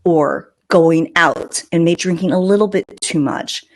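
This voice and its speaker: A woman's voice: on the low side, measured pace. A woman hosting a podcast, telling personal stories casually with emphatic delivery and joking asides. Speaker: podcast host. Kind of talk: casual podcast chat, anecdotal storytelling.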